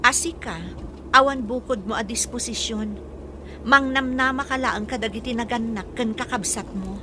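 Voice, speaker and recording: A woman's voice: on the high side, normal speed, noisy background, close to an everyday voice. An actress with an Ilocano accent playing a frank, earnest young woman in a radio drama. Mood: frustrated. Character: Shirley, earnest young woman, frank and principled daughter and girlfriend. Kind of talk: Filipino radio drama acting.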